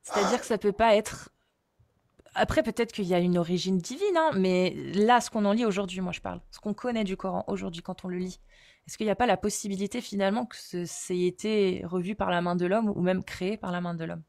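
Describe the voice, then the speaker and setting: Une femme qui anime un échange sur la religion d'une voix de hauteur moyenne, captée de près dans un son mat. Elle relance sans cesse son interlocuteur. Elle réfléchit à voix haute et ponctue ses phrases de « euh ». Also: female voice, mid-range voice, brisk, darkish tone, no room sound, studio quality. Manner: questioning, insistent, thinks aloud with fillers. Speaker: host. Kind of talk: probing conversation on religion.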